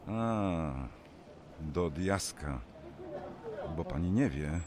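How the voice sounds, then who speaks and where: An elderly neighbour in an audio drama, deep-voiced and folksy. He rambles hesitantly like an awkward gossip, with faint background noise. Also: lively intonation, very slow, heavily acted. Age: older. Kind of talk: naturalistic radio drama acting.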